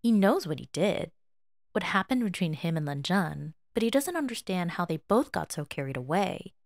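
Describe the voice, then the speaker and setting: A woman reading fiction aloud as a dramatic, expressive and emotive narrator. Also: fairly low pitch, normal speed, gravelly, dry recording, studio quality. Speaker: fan fiction audiobook reader.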